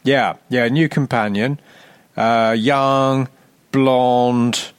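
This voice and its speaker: A man's voice: medium pitch, fairly even intonation, very slow, clean tone, no echo. A man voicing a chatty, vague publishing executive in a phone call, rambling and hesitant with plenty of ums and yeahs. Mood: breezy, offhand.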